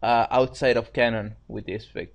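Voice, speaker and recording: A man's voice: medium pitch, fairly even intonation, normal speed. A man co-hosting a story show, reading fan fiction aloud in casual amateur narration and stumbling over words.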